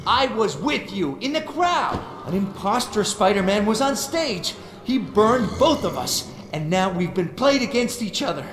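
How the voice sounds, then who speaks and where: An actor playing a young, quippy, exasperated superhero in a video game cutscene, earnest and emphatic. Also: male voice, sits high, sing-song, a little slow. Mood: urgent, pleading. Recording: echoey, music behind.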